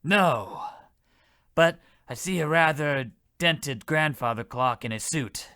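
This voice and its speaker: An actor playing a blundering, self-important, freeloading cop in an old-time radio comedy, rambling in a folksy, oblivious way. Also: male voice, on the high side, takes it slowly, sharp tone, no room sound, clean studio sound, exaggerated voice. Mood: nosy.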